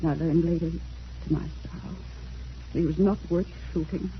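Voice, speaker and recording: A sick regretful mom, begging and self-blaming, old radio drama, muffled. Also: female voice, sits low, steady tone, moderate pace. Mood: bitter regret. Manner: pleading, melodramatic, self-reproachful. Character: ailing, remorseful, desperate mother pleading for her grown daughter. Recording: dull, noisy vintage radio recording.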